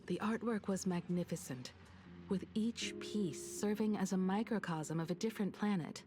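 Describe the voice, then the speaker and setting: A woman voicing a composed, grave young woman in a video game, speaking in a measured, restrained way. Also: mid-range voice, noisy background, lightly acted. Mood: solemn, reflective. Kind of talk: dramatic video game voice acting. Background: prominent music.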